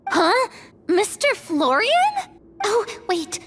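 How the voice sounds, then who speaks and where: A woman's voice: very high voice, sing-song, takes it slowly, very noisy, heavily acted. An actress voicing a girlish, dramatic and easily flustered young lady in a video game, speaking theatrically.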